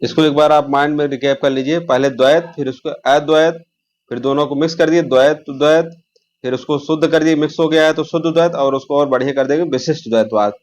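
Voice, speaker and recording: A man's voice: on the high side, steady tone, brisk, darkish tone. Man teaching an exam class. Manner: instructional, repeats key terms and asks rhetorical questions. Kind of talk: informal exam lesson, explaining questions.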